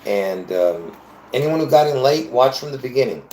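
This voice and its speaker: A man's voice: medium pitch, lively intonation, normal speed, warm tone. A man hosting a casual solo livestream, talking in a rambling, chatty way with frequent laughs and restarts. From born in Lebanon. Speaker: livestream host. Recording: roomy echo.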